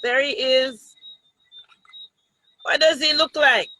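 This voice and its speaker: Slow, high-voiced Jamaican woman storyteller. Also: steady tone, bright, forceful tone, poor audio. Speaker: children's story reader. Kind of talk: read-aloud storytelling to children, with asides.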